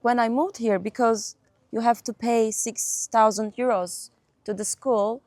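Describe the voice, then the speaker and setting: A young woman from Turkey, whose first language is Turkish, chatting as a guest musician in an interview, laughing often and pausing with fillers. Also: a little high, fairly even intonation, a little mellow, no echo. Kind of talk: casual interview chat.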